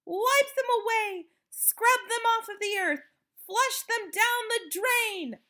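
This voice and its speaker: A woman voicing excitable, fawning witches in a children's storybook, chanting and crying out in eager devotion. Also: very high voice, slow, voice pushed up, full character voice. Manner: chanting and crying out shrilly in adulation. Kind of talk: theatrical children's audiobook character.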